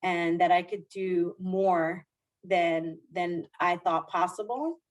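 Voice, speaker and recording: Woman, 40s, lowish voice, earnest podcast guest on a remote call, lots of restarts. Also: slow. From Dominican Republic. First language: Spanish. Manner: warm, earnest, frequent restarts and soft laughs. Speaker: guest, diversity executive. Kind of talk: podcast interview.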